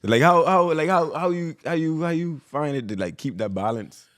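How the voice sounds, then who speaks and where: A man's voice: a little high, animated, moderate pace, a bit bright, dry recording, studio quality. A Bahamian man co-hosting a podcast, talking emphatically and casually, repeating his phrases. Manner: emphatic, repeats "real talk". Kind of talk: casual chat.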